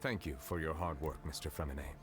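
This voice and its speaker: An actor playing a solemn, formal chief judge in a game, speaking in a measured way over game music. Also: male voice, a bass voice, fairly even intonation. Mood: grave. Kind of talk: game dialogue. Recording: game soundtrack underneath.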